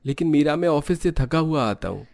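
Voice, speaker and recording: A man's voice: fairly high pitch, animated, a little fast, vocal fry, a little mellow, no echo. A man playing a busy, practical young husband and businessman in a dramatized moral story. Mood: practical, matter-of-fact.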